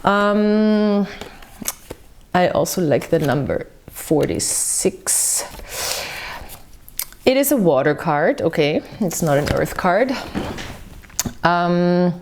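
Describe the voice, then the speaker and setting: Soft-spoken non-native woman reading tarot. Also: lowish voice, animated, slight background noise. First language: not English. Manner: soft, musing, reads slowly from a book. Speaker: tarot reader. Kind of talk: casual tarot reading to camera.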